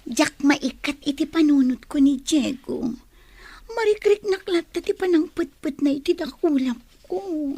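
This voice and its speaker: A woman's voice: sits high, lively intonation, unhurried, dark tone, dry recording. A woman playing a teasing, lovestruck young woman chatting with her close friend in a radio drama. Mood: teasing, dreamy.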